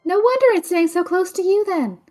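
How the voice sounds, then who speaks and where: A woman's voice: very high-pitched, lively intonation, a little fast, a little mellow, faint music behind. A woman playing a witty, brainy magical sidekick, dry, teasing and precise, in a fantasy audio drama. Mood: practical.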